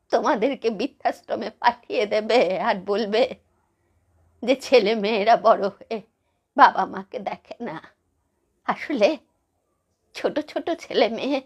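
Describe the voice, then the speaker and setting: A woman playing a meek, sorrowful elderly mother-in-law in a family skit, pleading in a halting, faltering way. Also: fairly high pitch, slightly rough. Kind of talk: solo voice-modulated Bengali family skit.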